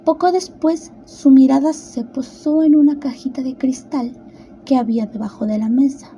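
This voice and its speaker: A woman reading a children's tale as an expressive scripted storybook narrator. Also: high-pitched, moderate pace, a little hiss or hum.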